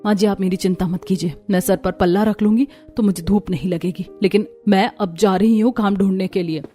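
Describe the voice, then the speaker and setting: A woman's voice: mid-range voice, steady tone, fairly quick, mellow, close to an everyday voice. Woman acting earnest poor young daughter-in-law, music behind. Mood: firm, upset.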